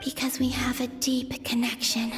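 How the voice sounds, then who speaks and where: A woman playing a gentle, melancholy young moon maiden in a video game, musing to herself in hushed tones with breathy sighs. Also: high voice, monotone, moderate pace, lightly acted. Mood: wistful realization. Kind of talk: dramatic video game voice acting. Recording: background music under the voice.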